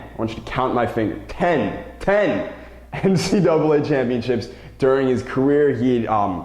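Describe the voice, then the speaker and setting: A man's voice: lowish voice, darkish tone. Echoey motivational man hosting a coaching vlog. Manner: emphatic, repeats and stresses key words. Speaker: basketball coach and show host. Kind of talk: motivational vlog talk to camera. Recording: roomy, echoing sound.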